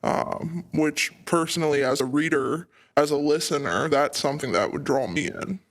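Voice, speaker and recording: A man speaks as a podcast guest in a casual, conversational style. His voice sits a little higher than most men's. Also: normal speed. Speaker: podcast guest, novice voice actor. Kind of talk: casual chat.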